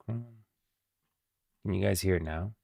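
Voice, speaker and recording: A man's voice: low voice, unhurried, creaky, dark tone, no room sound, studio quality. A man doing casual live-stream commentary, talking in an emphatic, repetitive, slangy way.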